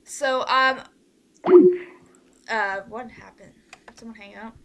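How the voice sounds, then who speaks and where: A young woman with a fairly high, very bright voice hosts an informal online chat. She rambles in a giggly way and often cuts herself off to start again. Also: steady tone, slow pace, gravelly. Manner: rambling, giggly, self-interrupting. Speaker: host of an online fan project meeting. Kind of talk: casual group call chat. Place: online group voice call.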